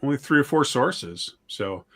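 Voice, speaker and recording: A man's voice: medium pitch, sing-song, unhurried, a bit bright. A man chatting casually as an interview guest, informal and self-deprecating. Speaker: podcast guest, author and audio-play writer.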